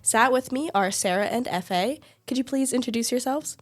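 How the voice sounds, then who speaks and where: A young woman from the United States hosting a student podcast as a steady, friendly interviewer. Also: a little high, normal speed. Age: young adult. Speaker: podcast host.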